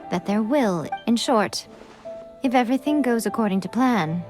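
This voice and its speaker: A woman playing an elegant, sly shrine maiden in a video game, poised, measured and teasingly condescending. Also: fairly high pitch, expressive, over music, close to an everyday voice. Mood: composed, condescending.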